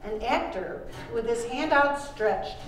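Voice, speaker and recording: A woman's voice: on the high side, animated, takes it slowly. Woman reading aloud in an echoey room. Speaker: author reading from their book. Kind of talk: scripted reading at live event. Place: live book launch performance in a room. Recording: echoey room, distant mic, faint noise.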